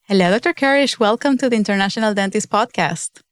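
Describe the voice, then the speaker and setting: Woman, podcast host, medium pitch, dry close sound, earnest welcoming delivery. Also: fast, clear, resonant tone, no echo. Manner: earnest, welcoming, reads a prepared intro. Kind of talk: interview podcast hosting.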